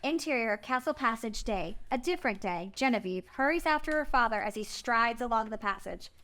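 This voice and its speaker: Lady reading screenplay stage directions, kinda high voice, narrator style. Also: expressive, fairly quick, fairly bright tone.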